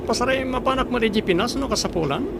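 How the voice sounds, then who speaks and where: An unusually high male voice from a man acting as a young suitor in an audio drama. He comes across as friendly and courting. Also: quick pace, vocal fry, heavy background noise.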